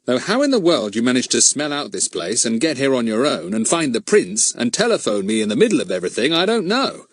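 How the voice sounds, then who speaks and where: A man reading a storybook voicing a brisk, authoritative police chief inspector. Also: mid-range voice, very animated, dry recording, voice pushed up. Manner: stentorian, brisk.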